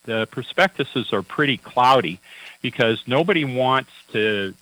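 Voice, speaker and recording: A man's voice: mid-range voice, animated, measured pace, pushed and bright, no room sound, poor audio. A man working as a financial advisor, chatting on a radio talk show in a folksy, anecdotal way with many fillers and restarts.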